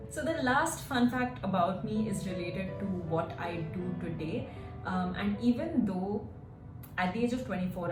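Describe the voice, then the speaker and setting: Chatty young woman in an echoey room. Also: medium pitch. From Singapore. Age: 20s. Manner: chatty, animated storytelling with frequent "like" and "right?". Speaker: fitness coach. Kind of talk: casual vlog chat. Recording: echoey room with music behind.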